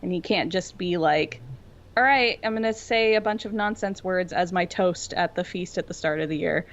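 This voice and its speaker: A woman's voice: lowish voice, moderate pace. A woman chats casually as a podcast co-host. She rambles and circles back on her thoughts, and the audio is a little dull. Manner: rambling, tangential, filler-heavy with frequent "like". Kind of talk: casual fan discussion chat.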